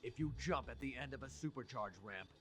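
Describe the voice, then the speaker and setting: An actor voicing an old male dragon in a video game, giving a calm, plain-spoken tip. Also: mid-range voice, fairly quick, over music, lightly acted. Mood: advising.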